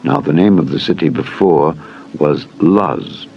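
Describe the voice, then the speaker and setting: A man's voice: extremely low pitch, a little slow, gravelly, highs cut off. A man narrating a dramatized Bible reading in a solemn, formal manner. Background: music.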